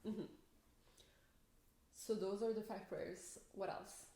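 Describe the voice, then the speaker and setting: A woman's voice: medium pitch, fairly even intonation, slow, vocal fry, mellow. Chatty young woman in echoey room. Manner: chatty, leads questions, giggles often. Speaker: podcast co-host. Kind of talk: casual chat.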